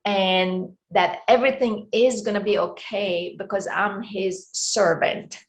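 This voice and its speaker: A middle-aged woman with an Egyptian accent talks as a guest on an interview show. She speaks in a reflective, unhurried way, pausing often and filling gaps with small hesitations, in a voice of ordinary pitch. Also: steady tone, poor audio. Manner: reflective, halting with many fillers. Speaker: guest, wellness coach. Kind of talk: casual interview talk.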